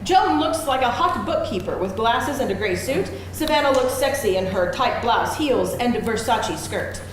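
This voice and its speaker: A woman's voice: fairly high pitch, animated, normal speed. A woman reading stage directions as a scripted narrator at a live table read. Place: live event room before an audience. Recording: very echoey live room, faint noise.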